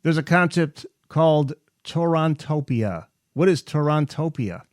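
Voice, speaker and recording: A man's voice: fairly high pitch, lively intonation, unhurried, dry recording, studio quality. A man hosting a music interview podcast, moving between prepared introductions and questions to his guest. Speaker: podcast host interviewing an author.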